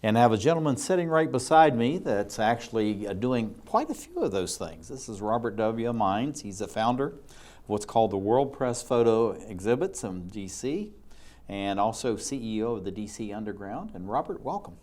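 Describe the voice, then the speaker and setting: A man's voice: fairly low pitch, big pitch swings, moderate pace, a little mellow. A man hosting an interview show, asking long rambling and enthusiastic questions. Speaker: talk show host.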